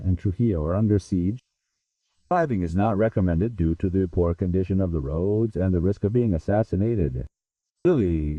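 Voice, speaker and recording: A man's voice: low voice, dry recording, far from the mic. A man reading a scripted emergency broadcast announcement in a formal, grave manner over a tinny, phone-like channel.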